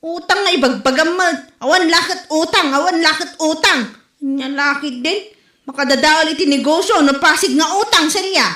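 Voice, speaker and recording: Woman playing a shrill, brash, stingy storekeeper. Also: moderate pace, bright, forceful tone. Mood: exasperated. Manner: scolding, exclamatory, dismissive. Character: stingy, brash female village storekeeper who refuses credit. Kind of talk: comedy radio drama acting. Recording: echoey room.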